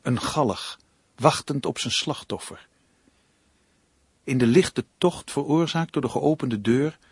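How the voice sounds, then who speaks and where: A man's voice: mid-range voice, slightly rough, no room sound. An actor playing a brooding, put-upon male writer in a Dutch radio play, in narration and dialogue.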